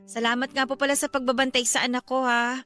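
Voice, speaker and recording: A woman's voice: high voice, fast talker, faint music behind. A woman playing a weary, patient wife and mother in a Filipino radio drama. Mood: polite, patient. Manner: polite, expressive.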